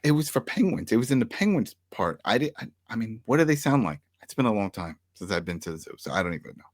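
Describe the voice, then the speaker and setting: A man's voice: lowish voice, fast talker, no room sound, studio quality. A man co-hosting a recap show, talking casually and animatedly as he retells scenes and acts out lines. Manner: animated retelling with acted-out quotes. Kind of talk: casual chat recapping and reacting to a TV episode.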